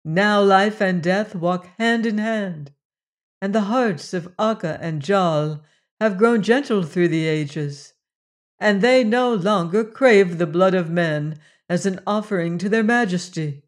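A man's voice: high-pitched, slow. A man voicing a young woman posing as a goddess, proclaiming in a stately, solemn way.

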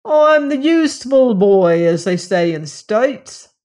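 A woman voicing a cheeky, theatrical teenage servant boy with a Cockney accent and flamboyant, drawn-out flourishes, in a story reading. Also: mid-range voice, animated, darkish tone, natural voice. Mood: eager.

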